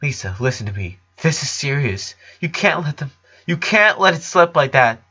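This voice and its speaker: A man's voice: fairly high pitch, sing-song, moderate pace, sharp tone, slightly rough, lo-fi sound, exaggerated voice. A man voicing an anxious eleven-year-old boy who stammers and pleads urgently, in a cartoon fan fiction reading. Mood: pleading.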